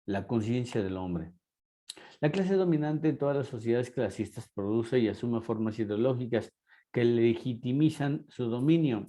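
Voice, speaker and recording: A man's voice: medium pitch, normal speed, warm tone. A man with a Mexican accent, lecturing a class and asking rhetorical questions. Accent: Mexican Spanish. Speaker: teacher.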